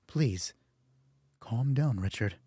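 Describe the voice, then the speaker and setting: Man playing a soft, husky, placating therapist. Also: mid-range voice, animated, no room sound, voice pushed down. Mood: calm. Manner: soft-spoken, soothing, measured. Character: calm, placating marriage therapist, patient mediator.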